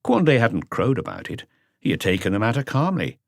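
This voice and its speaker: A man's voice: fairly low pitch, wildly swooping pitch, fairly quick, creaky, no room sound, clean studio sound. A man narrating an audiobook story from a script.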